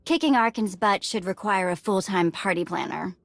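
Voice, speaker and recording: An actress playing a flirty, fun-loving adventurer in a video game, confident and playful. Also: female voice, mid-range voice, normal speed, a bit bright, no room sound, close to an everyday voice.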